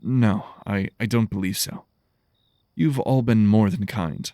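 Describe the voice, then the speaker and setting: A man playing an earnest, gentle explorer stranded on an alien world, speaking thoughtfully and haltingly in an audio drama. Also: fairly low pitch, no echo, clean studio sound, natural voice. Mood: hesitant, searching.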